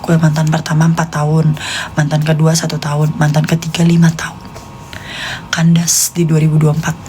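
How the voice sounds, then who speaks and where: Low-pitched young Jakartan woman chatting. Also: monotone, a little fast, warm tone, a little hiss or hum. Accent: Jakartan Indonesian. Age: late 20s. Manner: informal, slangy, confiding. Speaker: live streamer. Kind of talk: casual chat to live stream viewers.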